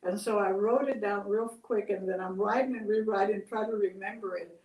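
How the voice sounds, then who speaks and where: An older woman telling stories and reflecting on them in a gentle, halting, conversational way. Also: mid-range voice, fairly even intonation, muffled recording. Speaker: storyteller, host. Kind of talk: oral storytelling and reflective chat. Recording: echoey room, distant mic.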